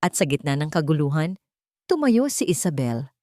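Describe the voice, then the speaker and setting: A woman's voice: low voice, very animated, moderate pace, warm tone, no room sound, clean studio sound. A woman reading a scripted romance story as a dramatic narrator.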